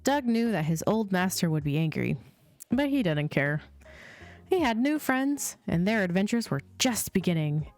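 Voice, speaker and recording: A woman reading children's storybooks aloud as a host, in a measured, sing-song storytelling delivery. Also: medium pitch, very animated. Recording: music playing behind the voice. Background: chill music.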